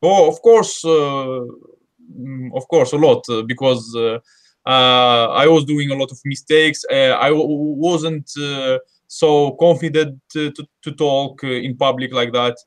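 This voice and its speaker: A man's voice: mid-range voice, a little slow. A man with a Russian accent, speaking English as a second language in a casual group chat, hesitant with many fillers and restarts. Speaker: host.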